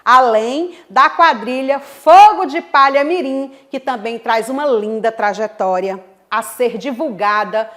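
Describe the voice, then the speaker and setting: A woman from Brazil, a history teacher giving a televised school lesson in an expressive, emphatic way. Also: a little high, a little slow. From Northeast Brazil.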